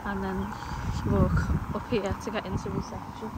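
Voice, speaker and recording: A woman's voice: medium pitch, level tone, normal speed. A British woman chatting casually as she narrates a travel vlog on location. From United Kingdom. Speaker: travel vlogger. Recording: noisy outdoor ambience, vehicle sounds.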